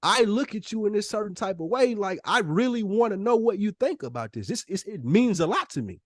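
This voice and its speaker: American male, higher-pitched voice, close dry sound, casual podcast chat, slangy, emphatic, slipping into imagined dialogue. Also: very animated, brisk, no room sound. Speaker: podcast co-host. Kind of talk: casual conversational podcast talk.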